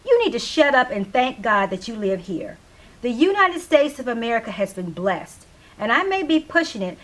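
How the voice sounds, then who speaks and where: A woman's voice: high voice, fairly bright tone. A woman voicing an opinionated small-town radio DJ of the 1970s, speaking in an emphatic, preachy way in a stage play reading.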